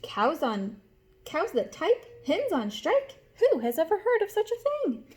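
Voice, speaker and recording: A woman's voice: extremely high pitch, wildly swooping pitch, measured pace, darkish tone, pitched above the performer's own voice, full character voice. A woman reading a storybook as a grumpy, indignant male farmer, exclaiming in disbelief and making stern demands. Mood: indignant outcry.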